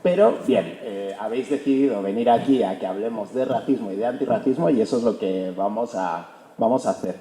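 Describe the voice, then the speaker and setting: A man's voice: fairly low pitch, a little fast, dark tone. A young man from Spain giving a fluent public talk about his book, often tagging his sentences with a questioning "no". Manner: fluent, peppers speech with "¿no?". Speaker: author presenting his book. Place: auditorium hall. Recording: echoey hall recording.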